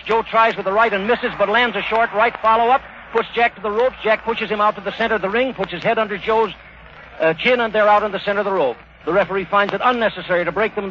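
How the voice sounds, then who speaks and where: Fast, high-pitched man calling vintage radio boxing. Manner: clipped, running present-tense description. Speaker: boxing commentator. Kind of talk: 1930s live radio blow-by-blow sports commentary. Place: outdoor stadium ringside. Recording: noisy, dull 1930s radio broadcast recording.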